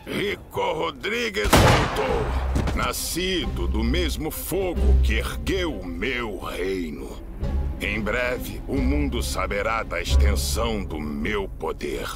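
Middle-aged military tyrant, Brazilian accent. Ruthless, theatrical, volatile, widely swinging pitch. Game cutscene, loud score. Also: male voice, lively intonation, over loud music. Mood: cold, menacing. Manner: pompous, deliberate, theatrical. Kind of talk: dramatic video game cutscene acting.

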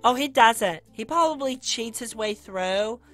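A woman plays a sassy animatronic friend with a high, bright voice. The character is breezy and nonchalant in a Minecraft roleplay, with music underneath. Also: lively intonation, measured pace. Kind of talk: Minecraft roleplay video acting.

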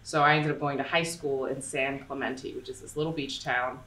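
Low-voiced, chatty woman from LA. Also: a little fast, echoey room, recorded from a distance, poor audio. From Los Angeles, USA. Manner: chatty, animated, jumps into playful voices. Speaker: actress guest. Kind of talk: casual interview chat. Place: dark studio room. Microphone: studio condenser mic on stand.

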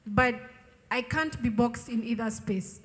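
Woman, higher voice, sharing candid testimony at a church gathering, emphatic and informal, reverberant PA sound. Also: measured pace, fairly bright tone. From Ethiopian raised in Kenya. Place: large open-sided tent gathering. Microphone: handheld microphone.

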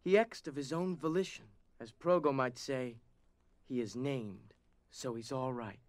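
A man playing a steady, loyal teenage boy in an audio drama, calm and earnest. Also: medium pitch, a little slow, lightly acted. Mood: calm, consoling. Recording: hall-like reverb.

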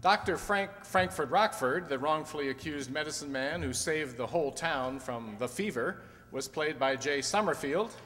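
A man's voice: on the high side, lively intonation, moderate pace. A man in his sixties, speaking as an announcer reading from a script on stage. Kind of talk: scripted cast credits in radio announcer manner. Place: on stage in a community hall. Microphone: stand microphone. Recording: echoey hall, loud audience and noise.

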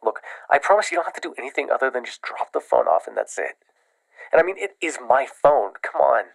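Desperate, evasive young male caller acted by a man, very creaky voice, halting and pleading, dry close sound, audio roleplay. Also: medium pitch, sing-song, no room sound, poor audio. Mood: urgent, desperate. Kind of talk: immersive ASMR roleplay voice acting.

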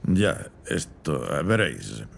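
Game role: older male rogue mentor, very low and creaky, wry, laconic. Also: very animated, takes it slowly, slight background noise, exaggerated voice. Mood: wary. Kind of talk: game cinematic acting, Spanish dub.

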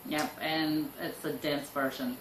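A woman's voice: low voice, level tone, a little slow, a little hiss or hum. Easygoing young woman, roomy echo. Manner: easygoing, agreeable, giggly. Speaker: reaction video co-host. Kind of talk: casual reaction chat. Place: small room with plain wall. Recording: echoey room, music playing behind.

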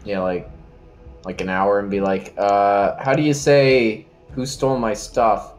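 A man with a fairly low voice chats as a player in a tabletop game. His talk is casual and full of crude joking, and faint music plays behind him. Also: flat delivery, unhurried. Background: background music.

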